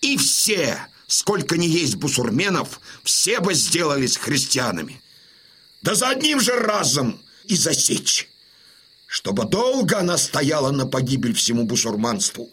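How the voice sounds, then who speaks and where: Husky, rousing older Cossack chieftain. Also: male voice, a little high, a bit bright, heavily acted. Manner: declamatory, oratorical, solemn. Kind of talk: Russian radio drama acting.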